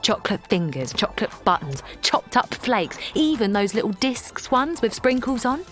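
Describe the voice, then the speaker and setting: Linda, a bubbly mum for an audio drama, lively and sing-song, with faint music under her. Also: a little high, moderate pace. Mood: cheerful. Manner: chatty, bubbly, laughing often. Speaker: narrator and lead character.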